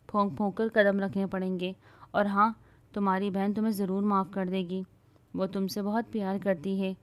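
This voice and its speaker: A woman's voice: medium pitch, no echo, lightly acted. Stern, lecturing young husband in a drama-style story reading, read by a woman in her own voice, dry close sound. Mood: gently advising. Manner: loud, lecturing. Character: stern man scolding his wife, husband.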